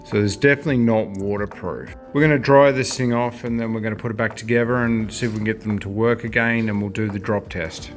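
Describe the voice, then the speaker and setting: A man's voice: lowish voice, fairly even intonation, quick pace, few pauses, soft background music. A man giving a casual hands-on product review in a plain-spoken, matter-of-fact way.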